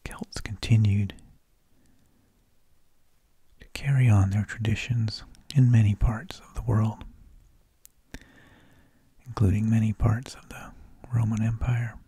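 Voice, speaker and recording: A man hosting ASMR, speaking in a husky, breathy whisper with halting phrases and long pauses. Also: lowish voice, flat delivery, dark tone. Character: mild, tedious energy vampire who lulls people to sleep. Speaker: ASMR host.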